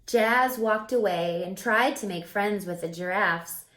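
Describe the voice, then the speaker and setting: A woman reading a children's picture book aloud as a teacher, expressively, pausing to put questions to young listeners. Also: mid-range voice, sharp tone, roomy sound, a little hiss or hum.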